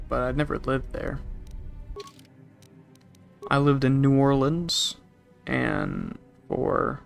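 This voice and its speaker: A man's voice: medium pitch, gravelly, a bit bright. A young man streaming a game, casually chatting and reading out chat messages in a rambling way. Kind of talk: casual chat with live chat while gaming.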